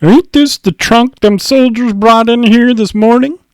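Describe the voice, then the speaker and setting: Man voicing a big, simple old sailor, pitched up. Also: a little slow, clean tone, no room sound, studio quality. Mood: curious, gruff. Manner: blunt, grumbling working-class speech. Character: big, simple, world-weary old sailor dockhand who loves grog. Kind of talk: audiobook character voice.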